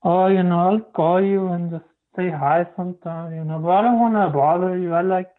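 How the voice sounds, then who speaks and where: A man's voice: high voice, fairly even intonation, normal speed. A male caller on a call-in show, talking hesitantly and padding every phrase with "you know". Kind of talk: call-in phone chat. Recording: tinny phone line.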